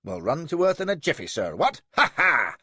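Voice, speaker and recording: A man voicing an eager, hot-tempered young naval officer who barks orders in a high, cracking voice. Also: normal speed, husky, dry recording, exaggerated voice. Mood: furious. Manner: excitable, shrill, barking orders.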